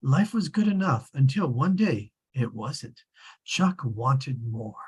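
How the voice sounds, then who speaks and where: A man reading as a wry, measured storybook narrator with a husky, rough-edged voice at a scripted table read. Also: medium pitch, very animated, full character voice.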